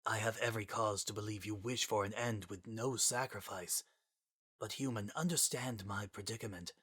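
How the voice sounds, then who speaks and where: A man performs a solemn scientist lost to the world, in a low, quiet voice. His delivery is formal and measured, heavy with regret and resignation, as in a dramatic audiobook. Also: husky. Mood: grave, regretful.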